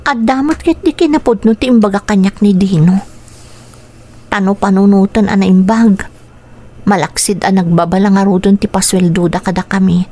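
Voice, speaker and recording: A woman's voice: medium pitch, steady tone, normal speed, mellow, lightly acted. An actress playing an earnest, plaintive female employee and dutiful daughter in an Ilocano radio drama, with a Filipino accent. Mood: pensive, aggrieved.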